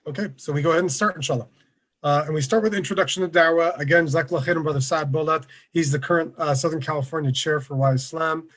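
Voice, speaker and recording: A man presenting an online workshop over a video call, relaxed and conversational, with many fillers. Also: fairly high pitch, fast talker. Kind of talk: casual online lecture.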